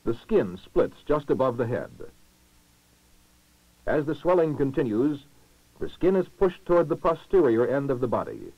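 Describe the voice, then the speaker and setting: A male narrator from a 1960s educational film, speaking in a formal, measured way with expressive rises and falls. His mid-pitched voice comes through a dull, muffled old film soundtrack with very little room sound. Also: dry recording. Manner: formal, measured, clearly enunciated delivery. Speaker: documentary narrator.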